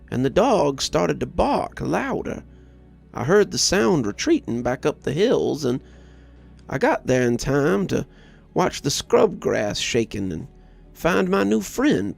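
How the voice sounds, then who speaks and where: Gravelly male horror storyteller over music. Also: a little high. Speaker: horror story narrator and channel host. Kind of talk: scripted first-person storytelling narration. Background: background music.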